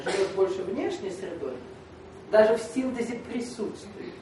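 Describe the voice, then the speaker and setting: A man lectures a gathered group, explaining and tossing out rhetorical questions. His voice sits high for a man. It rings around an echoey hall, picked up from a distance over faint background noise. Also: dark tone. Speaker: spiritual teacher.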